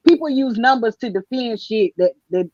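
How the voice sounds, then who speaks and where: A woman's voice: a little high, animated, moderate pace, a little mellow, no echo, lo-fi sound. A woman arguing her point in a casual panel chat, emphatic and slangy, often repeating herself.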